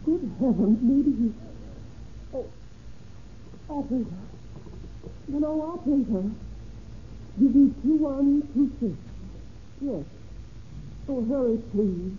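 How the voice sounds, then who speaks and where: A fretful, urgent wife for 1930s radio drama, high-pitched, on a narrow hissy recording. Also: female voice, lively intonation, slow pace, long pauses. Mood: frantic, urgent. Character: anxious banker's wife fearing for her missing husband.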